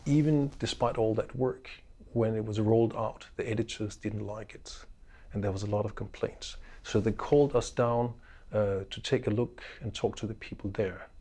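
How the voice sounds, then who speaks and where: A middle-aged man from Denmark with a Danish accent, a professor giving a measured, unhurried course introduction lecture with frequent ums and uhs. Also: on the low side, fairly even intonation, dark tone.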